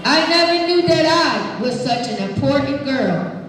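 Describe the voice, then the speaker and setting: A woman, an energetic children's author reading aloud live to a school audience, projecting and engaging listeners with questions. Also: high voice, measured pace, noisy background. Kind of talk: live read-aloud, call-and-response with children. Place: large echoey room at an elementary school. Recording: very echoey hall, distant mic.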